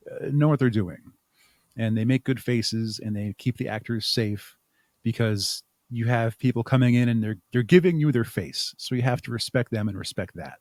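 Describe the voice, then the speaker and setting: A man's voice: mid-range voice, darkish tone, dry recording, clean studio sound. A man speaking as an interview guest in a casual, reflective way, sometimes searching for his words. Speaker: podcast guest, haunt makeup artist and audio drama creator.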